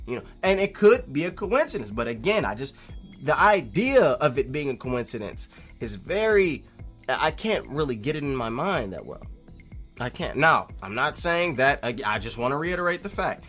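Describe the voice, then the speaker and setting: A man with a mid-pitched voice talks through his opinions as a video host, with music behind him. He is casual but emphatic, restating phrases for weight. His delivery moves between insistent, louder stretches and quieter asides. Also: big pitch swings, normal speed. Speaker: video host replying to a viewer comment. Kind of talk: casual opinionated commentary to camera.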